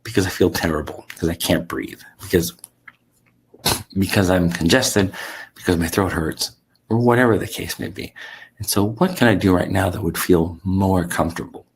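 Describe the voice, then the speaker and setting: Gravelly low-voiced man, congested. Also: moderate pace, a little hiss or hum, rough recording. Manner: relaxed, reflective, with many fillers and restarts. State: sick, congested with sore throat. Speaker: sleep coach. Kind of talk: solo talk to camera.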